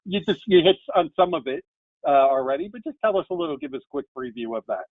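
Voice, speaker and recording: A man with a high, lively, gravelly voice hosts an interview over a video call and asks chatty questions in a friendly way. His sound is tinny and phone-like, with a very dry room. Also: fast talker, no room sound. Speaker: interview host.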